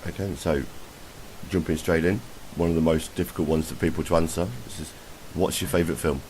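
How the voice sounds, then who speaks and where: A man's voice: rumbling low, steady tone, brisk, a little mellow, a little hiss or hum, low-quality recording. A man hosting a podcast, asking polite questions and answering with short, friendly reactions. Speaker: podcast host. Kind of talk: casual interview.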